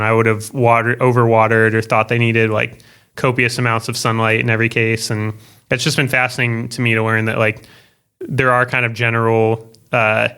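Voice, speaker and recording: A man's voice: medium pitch, bright, forceful tone, smooth. A man hosting a casual interview podcast, talking in a rambling way and reworking his questions as he goes. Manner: rambling, self-correcting questions. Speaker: podcast host.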